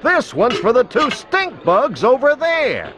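Flamboyant cartoon bug host, very high pitch, unhurried, theatrical, faint music and chatter behind. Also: male voice, wildly swooping pitch, pushed and bright, heavily acted. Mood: cheerful, encouraging. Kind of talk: animated children's computer game acting.

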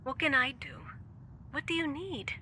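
Rich: a caring, earnest friend in a radio drama, pleading gently. Her voice is high and very bright and sharp, with a faint hiss behind it. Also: unhurried.

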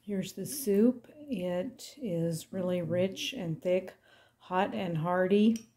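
A woman's voice: lowish voice, lively intonation, slow, dark tone. A middle-aged woman casually narrating her home cooking, chatty and homey. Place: home kitchen.

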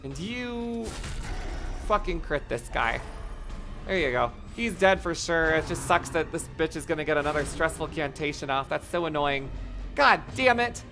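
A game streamer with a voice going hoarse, chatting casually, swearing a lot and reacting loudly to the game. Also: mid-range voice, normal speed, music in the background. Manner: excitable, profane, reacts loudly to gameplay. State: voice getting hoarse. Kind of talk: casual live-stream commentary.

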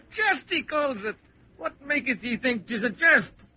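Mocking medieval thug, piercing tone, extremely high male voice, dull old radio recording. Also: expressive, slow pace, sharp tone, husky, heavily acted. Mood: jeering. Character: jeering, menacing medieval slave-seizing ruffian man. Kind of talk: old-time radio acting.

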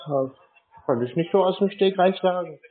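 A man chatting casually on a call, deadpan and laconic, sounding naive. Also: high voice, normal speed. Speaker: prank scam-baiter playing a customer. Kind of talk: casual phone conversation. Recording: muffled narrow phone-like sound.

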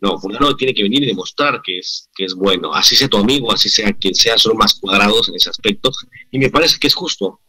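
Man, 40s, Mexican accent, bright timbre, earnest, video call. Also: on the low side, fairly quick, slightly rough, rough recording. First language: Spanish. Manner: earnest, reflective, many restarts. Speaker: actor guest. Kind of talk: casual interview over video call. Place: indoor room.

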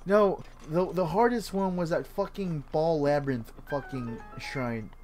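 A man's voice: on the high side, a little slow, a little mellow, soft background music. A man streaming a video game, chatting casually in a laid-back, rambling way and swearing freely. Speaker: gaming streamer.

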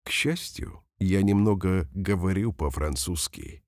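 A man reading example sentences slowly and carefully for language learners, saying each one twice. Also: low-pitched, no echo, studio quality. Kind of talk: slow repeated phrase reading for learners.

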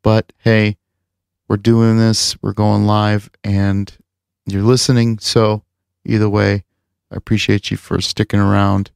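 Gravelly man hosting a podcast. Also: fairly low pitch, level tone, unhurried, a little mellow, no echo, studio quality. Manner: rambling, heavy filler words. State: recovering from lost voice. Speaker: podcast host. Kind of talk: casual chat and show wrap-up.

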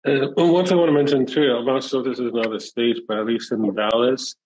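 A man's voice: mid-range voice, fairly even intonation, fast talker, darkish tone, low-quality recording. A man on a group video call explaining an idea in a rambling way with lots of fillers. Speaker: call participant.

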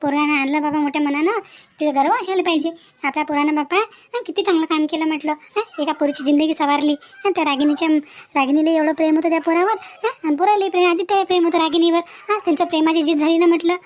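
A woman's voice: high-pitched, quick pace, lightly acted. A woman voicing an opinionated, sympathetic village aunt in a family cartoon, with a Varhadi Marathi accent and a repetitive, folksy way of talking punctuated by questioning hums. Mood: indignant sympathy. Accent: Varhadi Marathi, Vidarbha. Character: consoling, indignant village aunt, fond of the bride. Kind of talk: cartoon family melodrama acting.

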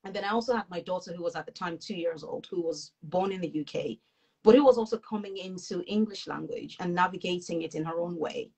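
A woman's voice: on the low side, brisk, a little mellow, slightly rough, poor audio. Modest young woman author from Nigeria. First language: Yoruba. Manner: modest, laughing, thoughtful. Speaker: author guest. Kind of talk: casual interview. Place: living room with couch. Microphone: video call.

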